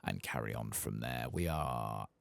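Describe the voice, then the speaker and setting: A soft-spoken man giving casual, musing ASMR commentary as he plays a game. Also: rumbling low, unhurried, creaky, no room sound. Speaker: gaming ASMR host.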